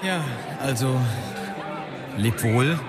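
A man's voice: mid-range voice, animated, extremely slow, over a noisy crowd. A man playing an awkward, earnest young newlywed in a radio play, speaking informally and with some hesitation. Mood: earnest, puzzled.

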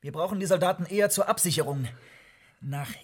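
An actor in a German radio drama playing a cornered, irritable informant who answers defensively and grudgingly. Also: male voice, high-pitched, a little fast, natural voice. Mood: reluctant, irritated. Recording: radio play with clear background noise.

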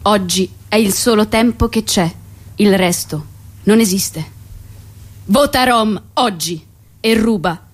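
A woman's voice: medium pitch, slow pace, pauses often, husky. A young woman acting a stage monologue as a proud, outspoken dreamer with a declamatory delivery. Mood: proud, defiant. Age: young adult. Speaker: theatre actress. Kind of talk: theatrical monologue. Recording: music under the voice.

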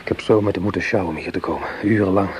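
An actor playing a weary, protective soldier corporal in a Dutch radio play, speaking in earnest, halting, soothing phrases. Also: male voice, deep voice, lightly acted. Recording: noisy old radio play recording.

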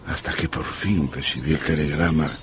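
An actor playing an aging, lovesick general in a radio drama, anxious and pleading with a halting, dramatic delivery. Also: male voice, extremely low pitch, steady tone, noisy background. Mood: earnest, confessing. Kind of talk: Spanish-language radio drama acting.

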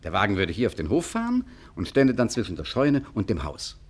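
An actor playing a cool, scheming gangster in a 1950s satirical radio drama. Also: male voice, fairly low pitch, wildly swooping pitch, brisk, husky, heavily acted. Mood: cool, instructive. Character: cool, scheming male gangster planning a trap. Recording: noisy old radio recording.

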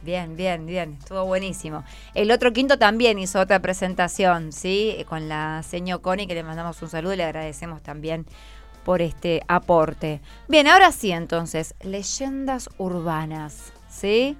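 A woman with a clear, smooth voice and a Buenos Aires accent hosts live radio in a studio. She is encouraging and asks plenty of questions, with faint music behind her. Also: lowish voice, normal speed. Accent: Argentine, Buenos Aires. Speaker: radio host. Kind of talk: unscripted school radio hosting with children.

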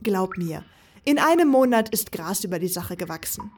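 A woman's voice: mid-range voice, expressive, moderate pace, recorded from a distance, slight background noise, close to an everyday voice. A woman playing a teasing, confident, carefree young woman who sneaks about, in a fan audio drama. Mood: casual, encouraging. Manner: breezy, teasing.

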